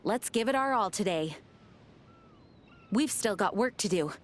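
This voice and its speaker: A woman's voice: medium pitch, animated, a little slow, close to an everyday voice. A woman playing a dutiful, earnest young knight in a video game, speaking formally and with composure. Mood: resolute, composed. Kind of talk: video game character voice lines.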